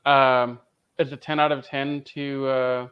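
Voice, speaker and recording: A man's voice: mid-range voice, little pitch movement, measured pace, clean tone, highs cut off. A man co-hosting a podcast, giving a fan review in casual conversation. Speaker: podcast co-host.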